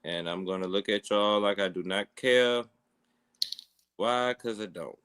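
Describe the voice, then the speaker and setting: A man's voice: fairly low pitch, fairly even intonation, unhurried, fairly bright tone, clean tone. A man co-hosting a podcast, giving blunt, unbothered opinions in casual chat. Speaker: podcast co-host.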